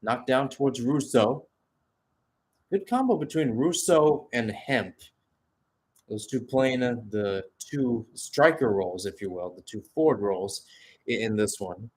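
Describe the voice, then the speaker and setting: A man works as a play-by-play commentator on a live soccer match. His voice sits at an ordinary male pitch. He describes the play in short calls and fills the gaps with conversational asides. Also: animated, measured pace, a little mellow.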